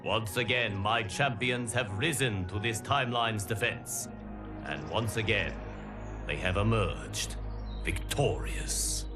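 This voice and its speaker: Solemn timeline guardian for game narration: lowish, unhurried, formal delivery with weighty pauses. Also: male voice. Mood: proud, solemn. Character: solemn male guardian of timelines addressing his champions. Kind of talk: scripted dramatic game narration. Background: music.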